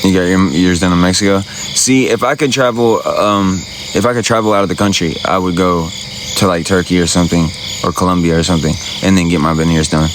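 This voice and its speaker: Laid-back deep-voiced man streaming outdoors. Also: steady tone, a little fast, noisy background. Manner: laid-back, rambling, slangy. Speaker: livestreamer. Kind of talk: casual livestream chat answering viewers. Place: outdoors at night by a campsite. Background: crickets and night insects.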